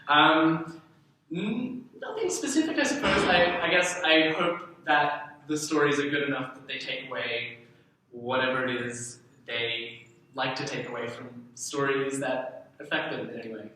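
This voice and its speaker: A young man with an Australian accent talks casually as an interview guest, meandering and a little self-deprecating. His voice is bright and forward, though it sits away from the microphone in a heavily reverberant room. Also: mid-range voice, a little slow, slight background noise. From Darwin, Australia. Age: young adult. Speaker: artist and writer being interviewed. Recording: very echoey room, distant mic.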